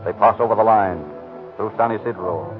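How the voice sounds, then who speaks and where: A man's voice: on the low side, brisk, music in the background. A man narrating a radio crime drama in an ominous, declamatory style, on a tinny old broadcast recording.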